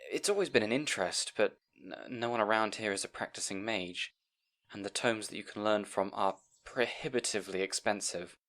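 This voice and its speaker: A man's voice: fairly low pitch, moderate pace, fairly bright tone. A man playing a timid, bookish young scribe in a fantasy audio roleplay, hesitant and apologetic, rambling and stammering. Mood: taken aback, sheepish. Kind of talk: audio roleplay acting addressed to the listener.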